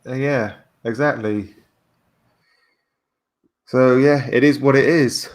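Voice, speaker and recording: A man's voice: mid-range voice, slow. A man chatting casually on a livestream, hesitant and often repeating his phrases as he goes.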